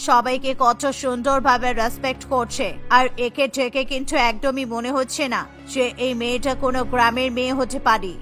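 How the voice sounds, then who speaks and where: A woman's voice: high voice, fairly even intonation, a little fast, few pauses, a bit bright, soft background music, voice pushed down. A woman voicing a sociable, gushing middle-aged party guest in a cartoon. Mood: placating. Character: chatty, admiring middle-aged society lady at a party. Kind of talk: cartoon character acting.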